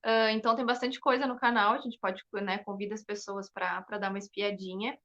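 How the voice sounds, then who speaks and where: A woman's voice: mid-range voice, little pitch movement, a little fast, sharp tone, dull audio. A young woman talking casually and enthusiastically as an interview guest, often adding "né" as a tag. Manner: enthusiastic, frequent "né" tags. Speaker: interview guest, performing artist and researcher. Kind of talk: casual explanatory interview talk.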